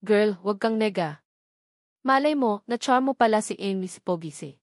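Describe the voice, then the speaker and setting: A woman's voice: medium pitch, lively intonation, normal speed, no room sound, studio quality, close to an everyday voice. Breezy, cheeky young Filipina friend played by a woman. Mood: encouraging, teasing. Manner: breezy, teasing, slangy. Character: cheeky encouraging young female call center friend.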